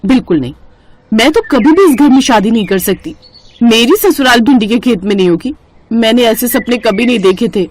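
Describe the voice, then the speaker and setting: A woman's voice: on the high side, animated, fairly quick, darkish tone, a little hiss or hum, close to an everyday voice. Woman as defiant, dramatic young bride. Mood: dismayed, defiant. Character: defiant, ambitious young bride dreaming of film stardom. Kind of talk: Hindi moral cartoon story acting.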